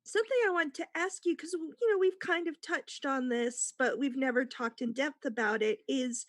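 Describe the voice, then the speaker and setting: A woman's voice: high voice, normal speed, no room sound, studio quality. A woman panelist in a casual discussion, reflective and given to explaining her points.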